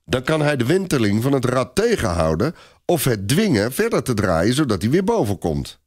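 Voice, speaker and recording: A man's voice: medium pitch, huge pitch swings, normal speed, pitched above the performer's own voice. A man voicing an anxious, stubborn little page boy, doubtful and protesting, in a storybook reading. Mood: hopeful.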